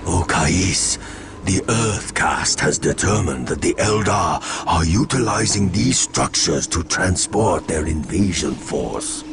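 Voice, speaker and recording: Deep, measured alien leader. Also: male voice, lively intonation, very noisy, heavily acted. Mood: grave. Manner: measured, formal. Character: grave, dignified male alien Tau leader. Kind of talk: video game cutscene acting. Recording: loud music underneath.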